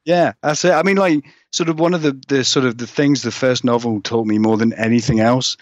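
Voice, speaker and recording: A man's voice: medium pitch, fairly even intonation, a little fast, no echo. A man chatting casually as an interview guest about his writing, rambling, hedging and self-deprecating. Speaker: guest, horror fiction author. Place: remote call from another country.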